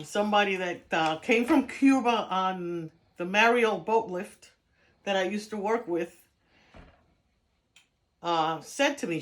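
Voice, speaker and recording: A woman walks through a home recipe in a relaxed, chatty way, laughing lightly between steps. Her pitch sits in an ordinary middle range. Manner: chatty, laughs while explaining. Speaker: home cook. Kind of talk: casual cooking demonstration.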